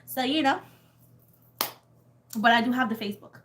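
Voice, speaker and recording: A young woman with a Puerto Rican accent chats loosely with her livestream viewers, her voice sitting a little high. She rambles from one thing to the next, laughs a lot and swears offhandedly. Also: normal speed, background noise. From Puerto Rico. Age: young adult. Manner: chatty and rambling, laughs often, swears casually. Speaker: tarot reader. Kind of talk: casual livestream chat with viewers.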